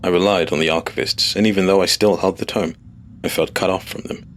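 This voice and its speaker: Male narrator, deep register, scripted first-person fantasy, faint ambient noise underneath. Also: steady tone, fairly quick.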